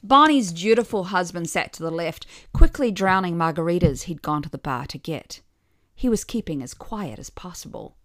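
A woman's voice: fairly low pitch, animated, normal speed, a bit bright, dry recording. A middle-aged woman reading a scripted first-person story with wry, dry humour. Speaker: podcast author and narrator.

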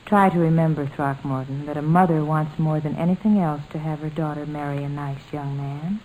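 A patient, motherly lady played by a woman with a lowish voice. She talks softly and earnestly, reassuring in a measured radio-drama manner, over faint noise from an old recording. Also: fairly even intonation, narrow, tinny audio, natural voice. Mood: gentle, reassuring. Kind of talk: old-time radio sitcom acting. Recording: noisy vintage radio recording.

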